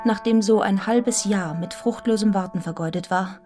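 An actress playing a serious, restrained young governess in a radio drama, telling her story in a measured, articulate, introspective way. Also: mid-range voice, over music, close to an everyday voice. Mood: reflective, grave. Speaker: actor in a radio play. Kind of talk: literary radio drama narration and dialogue.

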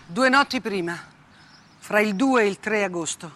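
An actress playing a weary, defiant woman journalist bearing witness in a dramatic theatre monologue, with deliberate, clipped phrases and emphatic repetition. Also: mid-range voice, fairly bright tone, slight background noise, close to an everyday voice. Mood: grave, haunted. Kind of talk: dramatic theatre monologue, first-person testimony.

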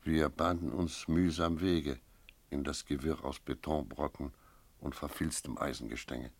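A sober investigator narrates a radio drama. The voice is extremely deep, with grave, deliberate phrasing and a wary, restrained manner. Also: male voice, steady tone, full character voice. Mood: sober, tense. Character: sober, wary male investigator recounting a night search. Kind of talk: first-person radio play narration with dialogue.

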